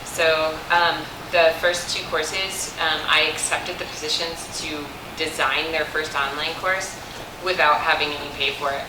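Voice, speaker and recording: A woman talking informally as a career panelist, casual and a bit hesitant, pausing often. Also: sits low. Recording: echoey, distant mic, noisy room.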